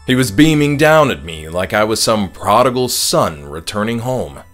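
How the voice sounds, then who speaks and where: A man narrating a scripted horror audiobook in a measured, dramatic storytelling manner. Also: on the low side, sing-song, fairly bright tone, soft background music. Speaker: author reading his own novella.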